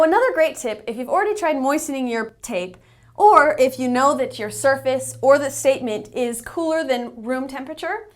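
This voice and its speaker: A woman narrating a how-to tutorial, explaining things step by step as an instructor. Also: high-pitched. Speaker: instructor, company representative.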